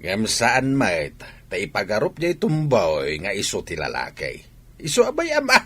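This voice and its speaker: Man playing a forceful, indignant, stubborn father. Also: lowish voice, huge pitch swings, normal speed, husky, full character voice. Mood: scornful. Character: indignant, stubborn father ashamed of his child. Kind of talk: radio drama acting.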